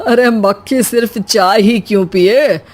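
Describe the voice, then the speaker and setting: A woman's voice: mid-range voice, unhurried, a little mellow, close to an everyday voice. A woman voicing a cheeky, doting elderly father-in-law in a comic story, with a playful, familiar manner. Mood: playfully reasoning. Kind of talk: acted Hindi comic story dialogue.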